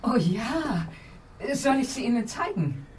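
A woman's voice: a little high, big pitch swings, unhurried, darkish tone, reverberant, recorded from a distance, slight background noise. An actress playing a deferential, eager landlady in a radio drama, polite, obliging and a little hesitant. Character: deferential, eager married landlady showing rooms. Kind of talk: German radio drama acting.

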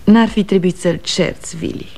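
An actress playing a patient, worried wife and mother in a radio drama, gently pleading and soothing. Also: medium pitch, lightly acted. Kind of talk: 1960 Romanian radio theatre acting.

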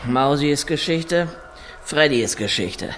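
A man's voice: fairly high pitch, expressive, measured pace. Boozy bitter man, slangy, mocking, radio play, noisy room ambience. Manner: slangy, jeering. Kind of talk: radio drama acting.

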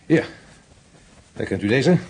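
A man's voice: medium pitch, animated, slow, creaky, darkish tone, slightly rough, full character voice. An actor playing a methodical intelligence officer briefing his superior in a radio drama, explaining in an insistent way.

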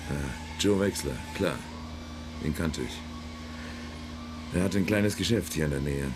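An actor playing a gruff, jovial cigar-smoking business owner in a radio crime drama, casual and offhand. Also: male voice, a bass voice, measured pace, background noise. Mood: wary, jovial. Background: music.

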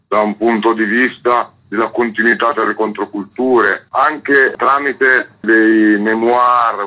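A man's voice: lowish voice, pushed and bright, low-quality recording. A man, a guest author talking casually and discursively in an interview. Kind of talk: casual interview talk about own book.

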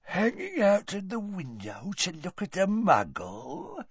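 A man voicing a menacing, proud old father in a storybook reading, with a forceful, hissing delivery. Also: medium pitch, steady tone, takes it slowly, dry recording, muffled recording, pitched above the performer's own voice. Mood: sharp, suspicious. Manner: hissing, forceful, explodes into shouting.

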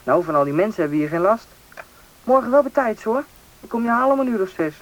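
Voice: mid-range voice, lively intonation, quick pace, lo-fi sound, natural voice. A performer playing a bossy, knowing country boy, confident and impatient, in a radio drama. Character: bossy, knowing boy who grew up in the taiga. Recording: noisy old radio play recording.

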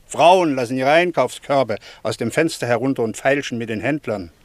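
A man's voice: medium pitch, moderate pace, no echo, natural voice. Middle-aged Greek townsman, played by a male actor, radio play, emphatic, eloquent, opinionated, dry close sound. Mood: emphatic, impassioned. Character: opinionated Greek townsman, fond of his noisy city. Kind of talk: German radio play acting.

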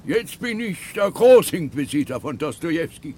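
An actor playing a zealous old heretical pastor in a German radio play, speaking with preacherly emphasis. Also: male voice, on the high side, sing-song, moderate pace, darkish tone, a little hiss or hum. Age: older.

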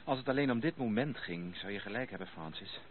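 An actor playing a courteous, earnest young gentleman in a Dutch radio play, formal and politely insistent. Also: on the low side, animated, brisk, close to an everyday voice. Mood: earnest, coaxing. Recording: noisy, thin phone-like old radio recording.

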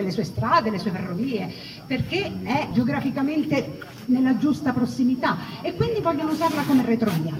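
A woman with a Roman Italian accent delivering a theatrical historical monologue, with emphatic repetition, rhetorical questions and wry colloquial asides. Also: fairly high pitch. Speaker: storyteller performer. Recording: noisy, distant live recording with ambience.

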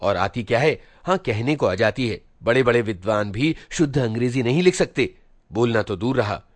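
Man acting a loud, hectoring, stern elder brother. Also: medium pitch, expressive, normal speed, dry recording, close to an everyday voice. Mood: lecturing, scornful. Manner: preachy, hectoring, rhetorical questions. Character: stern, scolding fourteen-year-old elder brother, self-important and studious. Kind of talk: dramatised storybook reading.

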